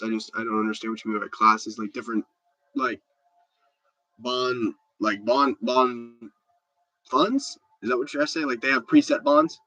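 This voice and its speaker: A man's voice: medium pitch, bright, forceful tone, dry recording, rough recording. A stuffed-up man hosting a casual livestream, chatting loosely and answering viewer comments with many restarts. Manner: rambling and chatty, with repeated restarts. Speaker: livestream host.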